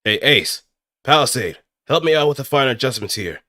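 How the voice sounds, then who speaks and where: A man voicing a brisk, commanding mecha pilot giving clipped callouts in the style of anime game dialogue. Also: mid-range voice, lively intonation, bright, forceful tone, no echo, studio quality, pitched above the performer's own voice.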